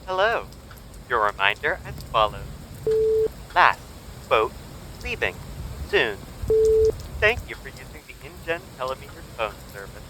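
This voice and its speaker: Automated phone service, very noisy line. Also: male voice, mid-range voice, very animated, unhurried, pauses often. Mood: cheerful, mechanical. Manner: stilted, canned, formal. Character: automated telephone reminder service with canned politeness. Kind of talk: audio drama acting. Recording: very noisy, clicking telephone line.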